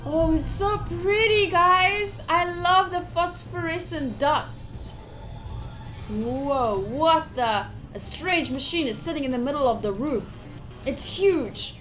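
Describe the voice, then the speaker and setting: A woman's voice: high-pitched, a bit bright. A woman streamer reading a visual novel aloud and chatting to viewers, moving between dramatic narration and casual asides. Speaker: game streamer. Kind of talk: live visual novel playthrough, reading aloud and commenting to chat.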